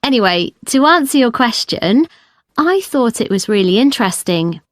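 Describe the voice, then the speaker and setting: A woman's voice: fairly high pitch, big pitch swings, moderate pace, no room sound, clean studio sound. An actress playing a frank, independent-minded young female student in a scripted, conversational listening-test dialogue. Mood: chatty. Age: young adult. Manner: conversational, firm.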